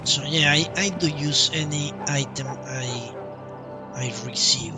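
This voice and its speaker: A man commenting casually on a live game stream, thinking aloud in a halting way. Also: a little high, distant mic. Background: video game music.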